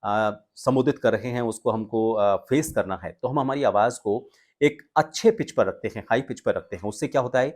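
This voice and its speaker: A man presenting a lesson as an instructor, explaining deliberately and pausing for emphasis. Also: fairly low pitch, darkish tone. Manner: measured, explanatory, deliberate phrasing with pauses for emphasis. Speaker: voice training instructor. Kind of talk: instructional talk on voice modulation, presenting to viewers.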